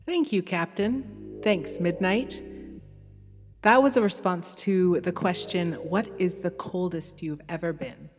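A woman's voice: fairly low pitch, slow pace, big-room sound, faint music behind. A woman presenting a show as its host.

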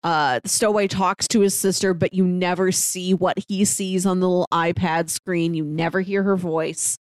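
A woman's voice: on the low side, steady tone, normal speed, smooth, no room sound. A woman co-hosting a podcast, chatting casually about films in a conversational way. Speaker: podcast co-host. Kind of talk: casual film discussion chat.

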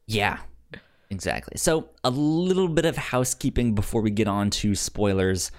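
A man's voice: fairly low pitch, expressive, normal speed, darkish tone. Stammering man hosting a podcast. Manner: stammers, often repeating word beginnings. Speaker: podcast host. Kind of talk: casual film podcast chat.

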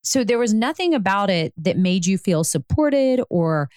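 A woman's voice: mid-range voice, sing-song, moderate pace, a little mellow, dry recording, clean studio sound. A woman hosting an interview, affirming her guest and asking probing follow-up questions. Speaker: podcast host.

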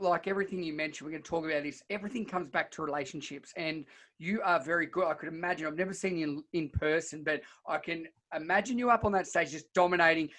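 A man's voice: on the high side, fairly bright tone. A man hosting a podcast, speaking in an enthusiastic, effusive and conversational way. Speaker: podcast host. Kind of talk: upbeat conversational podcast interview.

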